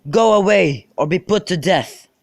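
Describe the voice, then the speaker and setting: A man performing as an angry prince in a student radio play. He declaims sternly and with command, in a male voice pitched a little high. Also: expressive, measured pace, gravelly, full character voice. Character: angry, commanding prince rebuking rioting citizens. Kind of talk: amateur student radio drama acting.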